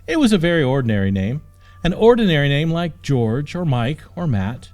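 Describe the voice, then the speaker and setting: A man reading a scripted story as an audiobook narrator, with expressive storytelling delivery. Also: fairly high pitch, normal speed.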